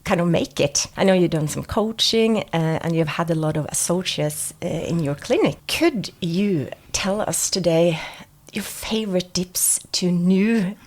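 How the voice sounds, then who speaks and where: A woman hosting a podcast, greeting her guest and asking questions in a warm, eager way. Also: on the low side, measured pace. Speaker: podcast host.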